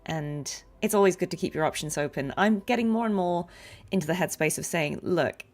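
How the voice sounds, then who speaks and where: Female game streamer, slightly low pitch, casual live chat, musing, informal. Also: a little fast, darkish tone.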